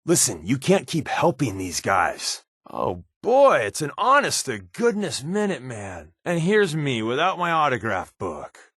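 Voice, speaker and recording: An actor voicing a sardonic, wisecracking secret agent companion in a video game, with a dry, joking manner. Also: male voice, medium pitch, huge pitch swings, unhurried, fairly bright tone, breathy edge, no echo, full character voice. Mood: wry, casual. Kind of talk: game voice acting.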